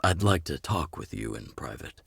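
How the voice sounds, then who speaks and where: A very deep, gravelly older leader. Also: male voice, big pitch swings, moderate pace, a bit bright, dry recording, full character voice. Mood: calm, reassuring. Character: older male underground leader, gruff yet kindly, measured. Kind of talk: video game story dialogue. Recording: clear music under the voice.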